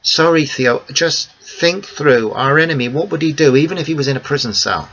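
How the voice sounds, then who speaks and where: A man's voice: on the high side, moderate pace, a bit bright, voice pushed up. A man reading the part of a determined young woman, speaking firmly and earnestly to friends and family. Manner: firm, earnest pleading. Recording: dull lo-fi recording.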